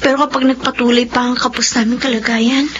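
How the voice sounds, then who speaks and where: A high-pitched young wife and mother acted by a woman with a Filipino accent, loving but easily wounded. It is radio drama acting, recorded with a muffled top end and some background noise. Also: level tone, fast, fairly bright tone, lightly acted. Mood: worried. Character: Venus, troubled young wife and mother, hurt yet loving.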